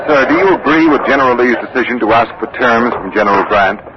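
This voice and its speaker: A man's voice: medium pitch, fast, dull audio, close to an everyday voice. Man acting an old-time radio reporter, very noisy recording. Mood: businesslike. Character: earnest, eager male war correspondent reporting live. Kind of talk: 1940s live radio news reporting.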